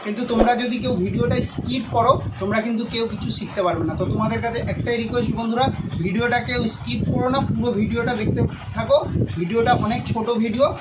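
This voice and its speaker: A man with a high-pitched voice hosts a how-to video at a quick clip. He keeps addressing the viewers directly as he narrates the steps. The home-made recording has noticeable background noise. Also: fairly even intonation. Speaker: tutorial channel host. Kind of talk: scripted-sounding app tutorial narration.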